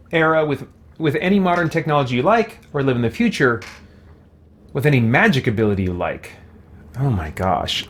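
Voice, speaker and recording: A man doing casual gaming commentary as a let's-play host, thinking aloud in a conversational way. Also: fairly high pitch. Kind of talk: casual gaming commentary, answering viewer questions.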